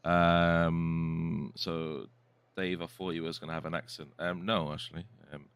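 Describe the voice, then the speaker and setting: A chatty man hosts a live futsal stream, reading out viewer comments between remarks. His voice is very deep, bright and crisp. The sound is close and dry. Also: little pitch movement, a little slow, no echo, lo-fi sound. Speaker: host and co-commentator.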